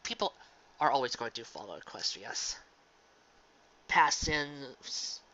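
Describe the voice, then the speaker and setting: Earnest fan-fiction reader: unhurried, emphatic, sentimental commentary with dramatic pauses, muffled lo-fi home sound. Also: medium pitch, animated, pushed and bright. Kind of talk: earnest spoken commentary to an audience. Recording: dull lo-fi home recording.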